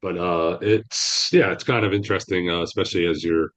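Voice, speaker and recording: A man talking as a guest author on a podcast in a rambling, halting way, with frequent restarts. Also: low voice, fairly even intonation, a bit bright. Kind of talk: casual interview answers.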